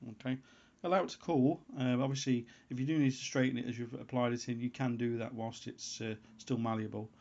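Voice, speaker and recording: A man giving an unscripted how-to voice-over as a hobbyist presenter, in a halting way, as if thinking aloud. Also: medium pitch, steady tone, creaky, a little mellow. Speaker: hobbyist presenter of a homebrew antenna tutorial. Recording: dull, lo-fi sound.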